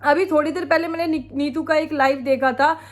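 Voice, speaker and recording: A woman's voice: sits high, fairly even intonation, fairly quick. A woman vlogger, chatting opinionatedly about other creators while she has a sore throat from a cold and laughs often. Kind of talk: casual reaction vlog commentary.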